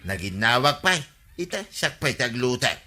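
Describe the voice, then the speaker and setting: A man's voice: mid-range voice, sing-song, normal speed, very noisy, natural voice. A man playing a grumbling, pushy village drinking buddy in a comedy radio drama, complaining and cajoling with an Ilocano accent.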